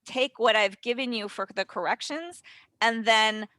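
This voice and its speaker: A woman talks through her coaching method in an animated, fast-moving way, full of quick asides. Her voice is bright and forward, and the call mic sounds close and dry, with no room around it. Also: fairly high pitch, dry recording. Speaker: voice and dialect coach. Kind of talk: webinar guest explaining a method. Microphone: webinar call mic.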